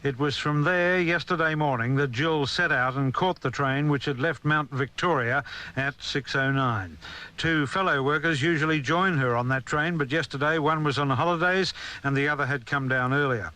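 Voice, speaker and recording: A man with an Australian accent reading a scripted radio news commentary in a solemn, measured manner. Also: on the high side, bright, forceful tone.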